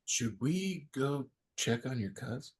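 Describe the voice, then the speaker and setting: A man's voice: mid-range voice, expressive, measured pace. A man playing a friendly, laid-back young dude at a table read, talking in a casual, slangy way.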